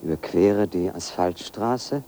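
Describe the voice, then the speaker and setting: An actor playing a solitary, brooding man who narrates his own walk in a measured, deliberate way, as in a radio play. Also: low voice, warm tone, slight background noise. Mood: brooding, observant. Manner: measured, deliberate, literary first-person narration. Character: solitary brooding man walking into a dark forest. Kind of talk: radio play interior monologue.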